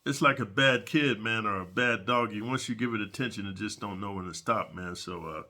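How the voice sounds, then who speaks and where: A man's voice: on the low side, brisk, pushed and bright. Sniffly man rambling prison stories. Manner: rambling, peppers talk with "man" and "dude". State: sniffling, stuffy from allergies. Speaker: prison storyteller. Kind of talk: casual storytelling monologue.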